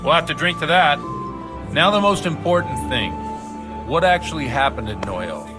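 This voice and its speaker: A man's voice: a little high, expressive, natural voice. Man playing a fatherly medieval priest over music. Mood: sociable, sombre. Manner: measured, fatherly, gently philosophical. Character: worldly medieval village priest, kindly but principled former fighter. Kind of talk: video game cutscene acting.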